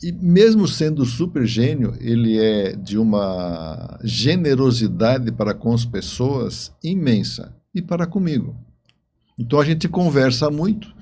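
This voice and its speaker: A man's voice: mid-range voice, expressive, unhurried, warm tone. A man, an interview guest telling stories conversationally, explaining things with repeated words and checks for agreement. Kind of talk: conversational storytelling.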